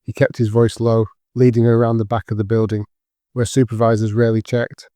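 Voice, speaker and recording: A man narrates serious fiction in a mellow, dark voice with little rise and fall. His delivery is sombre and measured, and the recording is dry and close. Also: medium pitch, level tone, no room sound. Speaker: audiobook narrator. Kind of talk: scripted dramatic fiction narration.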